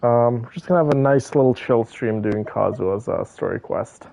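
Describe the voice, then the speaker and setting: A man's voice: mid-range voice, moderate pace, creaky, dry recording. A man chatting casually as he livestreams a game, laid-back and affectionate with his viewers. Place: bedroom, on a bed. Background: soft game music.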